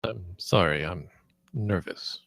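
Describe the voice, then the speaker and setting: A man's voice: sits low, slow, vocal fry, fairly bright tone, no room sound, heavily acted. A man playing a silly, self-important but nervous spaceship captain in a comedy table read, with an earnest comic manner. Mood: sheepish.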